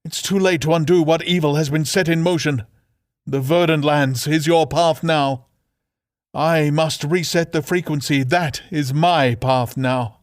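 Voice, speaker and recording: A man's voice: a little high, steady tone, measured pace, dry recording, clean studio sound, voice pushed up, lightly acted. A man voicing a solemn, resolute female hawk in a fantasy audiobook, earnest and ceremonious. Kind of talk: fantasy audiobook character acting.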